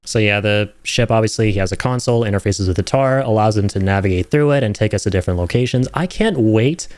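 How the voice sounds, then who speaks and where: A man's voice: fairly low pitch, fast, darkish tone, no room sound, soft background music. A young man hosting a casual reaction, enthusiastic and rambling, with lots of fillers and "right?" tag questions. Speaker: host reacting to game trailers.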